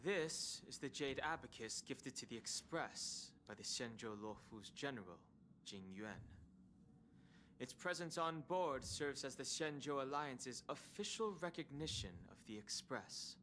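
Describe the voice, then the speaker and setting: A man voicing a serious, guarded young space traveller in a video game, speaking in a measured, formal, skeptical way. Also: medium pitch, expressive, pushed and bright. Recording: noticeable background ambience noise.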